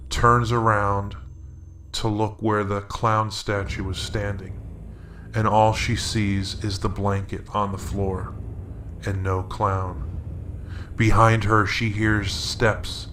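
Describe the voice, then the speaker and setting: A middle-aged man narrating a scary story in a deliberate, suspenseful, dramatic way. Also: lowish voice, little pitch movement, a bit bright, a little hiss or hum. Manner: slow, deliberate, suspenseful delivery. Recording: roomy echo, music underneath. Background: music.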